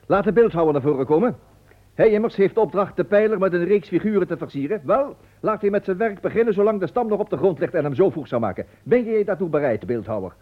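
An actor in a radio play playing a fussy, officious, easily flustered royal master of ceremonies who tends to stammer. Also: male voice, high voice, expressive, fast. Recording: tinny, band-limited old radio recording.

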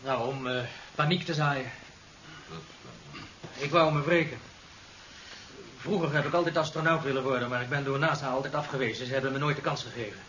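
An actor playing a nervous, submissive technician in a 1970s radio drama, halting and hesitant. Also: male voice, mid-range voice, fairly even intonation, a bit bright, close to an everyday voice. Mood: nervous, aggrieved. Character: nervous, submissive male technician, faltering and aggrieved. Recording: dull, hissy 1970s radio recording.

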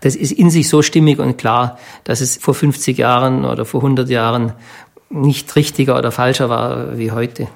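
A man talks reflectively in an interview. His voice sits at a middling pitch with plenty of gravelly creak, and he builds his thoughts in measured phrases. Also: steady tone. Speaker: interviewee, descendant of a company founder.

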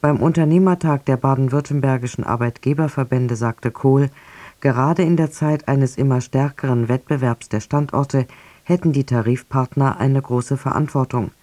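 Dark-voiced guy reading radio news, very dry studio sound. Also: medium pitch, no echo. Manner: measured, formal delivery. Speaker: newsreader.

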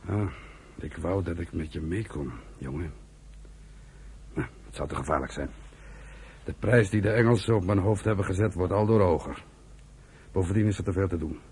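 An actor playing an embittered, fervent old uncle who leads an outlawed militia in a Dutch radio play, speaking in a rough, halting, emphatic way. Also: male voice, sits low, darkish tone, slight background noise. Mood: wistful. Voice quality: husky, rough.

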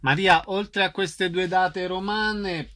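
Guy hosting a radio interview show, voice a bit on the high side, reads out event details. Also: steady tone, slow, pushed and bright. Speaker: radio host interviewing guests.

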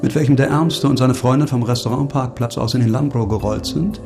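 A man's voice: mid-range voice, a little fast, over music, natural voice. An actor playing a stern, principled man with contained menace in a radio crime drama, terse and cutting. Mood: cold, probing.

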